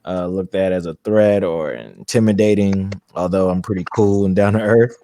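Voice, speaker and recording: A man in his thirties with a deep voice, calmly telling his background as an interview guest. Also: normal speed, a little hiss or hum. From Brooklyn, New York, raised in Charlotte, North Carolina.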